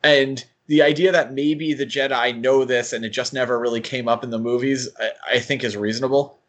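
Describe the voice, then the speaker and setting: A man's voice: medium pitch, fairly even intonation, fairly quick, a bit bright. Guy hosting a podcast, just chatting casually, informal and conversational. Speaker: podcast host.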